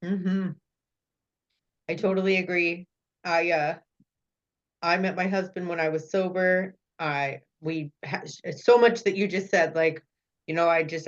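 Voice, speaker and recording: A woman hosts a casual podcast conversation, answering her guest with encouragement and easy laughter. Her voice sits fairly low for a woman. The sound is slightly dull and lo-fi, as if the top end has been cut. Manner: warm encouraging listener, laughs readily. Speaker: podcast host.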